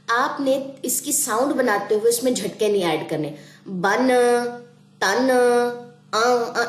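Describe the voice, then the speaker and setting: Brief: woman tutor, patient lesson delivery, echoey room. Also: mid-range voice. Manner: slow drawn-out letter sounding, patient explaining. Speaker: Quran reading teacher. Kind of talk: online class lesson.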